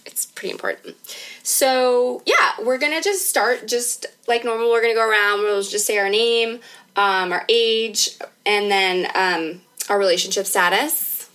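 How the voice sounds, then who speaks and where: A woman's voice: medium pitch, a little slow, a bit bright. A woman in her thirties hosting a podcast, talking casually and candidly in an informal, conversational way. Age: 30s. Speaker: podcast host.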